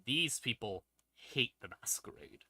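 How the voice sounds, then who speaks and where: A man's voice: medium pitch, expressive, unhurried, pushed and bright, breathy edge, no room sound. A man explaining lore on a stream in a casual, unscripted, irreverent way, swearing freely. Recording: background music under voice. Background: ambient music.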